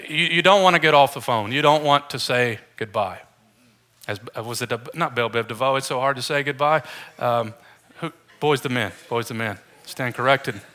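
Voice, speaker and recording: Bright-voiced man preaching conversationally. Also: medium pitch. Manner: informal, joking with the congregation. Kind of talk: conversational sermon.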